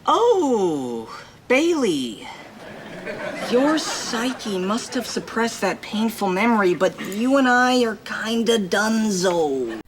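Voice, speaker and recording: A man's voice: high-pitched, sing-song, slow pace, crowd noise, exaggerated voice. A smug, sarcastic teenage boy. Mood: snarky. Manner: sarcastic, drawling.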